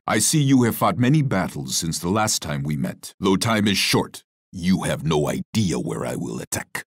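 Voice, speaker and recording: An actor playing a proud, stern Sioux war chief in a strategy video game, speaking in a measured, declamatory way. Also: male voice, on the low side, no room sound, studio quality. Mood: menacing, proud. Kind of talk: scripted strategy game character lines.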